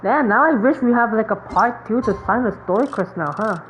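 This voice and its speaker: A woman's voice: a little high, animated, fairly quick. A woman giving casual live gameplay commentary as a streamer, musing in a rambling way with lots of fillers. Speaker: game streamer. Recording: dull mic, faint background noise.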